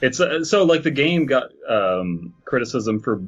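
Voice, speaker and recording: A man co-hosting a podcast, talking casually about games and haltingly repeating words as he thinks. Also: mid-range voice, big pitch swings. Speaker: podcast co-host. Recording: dull lo-fi mic, music behind.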